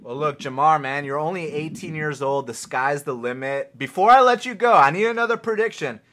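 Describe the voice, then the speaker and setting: A man hosting a podcast interview, hyping up his guest with energetic questions. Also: on the high side, a little fast, slight background noise. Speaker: podcast host.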